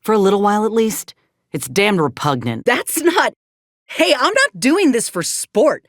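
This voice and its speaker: A woman's voice: mid-range voice, very animated, unhurried, dry recording, studio quality. An actress playing a frank, caring young woman soldier in a game, earnest and plain-spoken with folksy turns of phrase. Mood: stern, wary. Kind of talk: video game companion dialogue.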